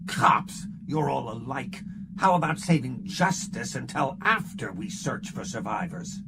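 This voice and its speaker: A man's voice: medium pitch, expressive, a little slow, creaky, pitched above the performer's own voice. A man voicing a sly, greedy male Ferengi barkeeper who talks in a wheedling, sarcastic way. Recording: loud background noise.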